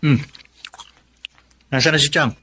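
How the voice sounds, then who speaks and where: An actor with a Filipino accent playing a matter-of-fact husband in a radio drama. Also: male voice, a little high, steady tone, slow pace, bright, forceful tone, a little hiss or hum. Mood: puzzled, knowing. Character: matter-of-fact husband questioning his wife.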